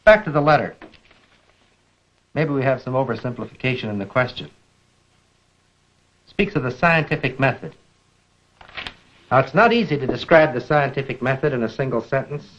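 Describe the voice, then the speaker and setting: A man's voice: mid-range voice, expressive, a bit bright. American man lecturing, dull vintage film sound. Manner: measured, deliberate, rhetorical questioning. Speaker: science lecturer and film presenter. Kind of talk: 1950s educational film lecture.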